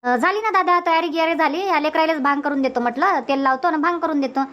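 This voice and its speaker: High-voiced Varhadi village woman played by an actor. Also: quick pace, fairly bright tone. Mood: busy, agreeable. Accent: Varhadi Marathi. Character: chatty village woman in a Marathi family cartoon comedy. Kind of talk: cartoon comedy dialogue in rural dialect.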